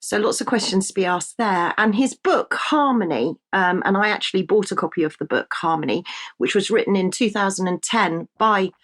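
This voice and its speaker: A woman with a British accent presenting a news show, her voice a little croaky, chatting with fillers and restarts. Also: medium pitch, normal speed, a bit bright. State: slightly croaky voice. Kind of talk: casual news commentary.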